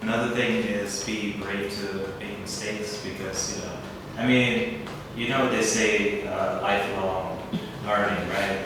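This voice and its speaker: A man's voice: on the low side, fairly even intonation, a little slow, few pauses, recorded from a distance, background noise. A man in a casual talk, asking rhetorical questions and leaning on "you know" a lot. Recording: echoey hall, picked up from afar.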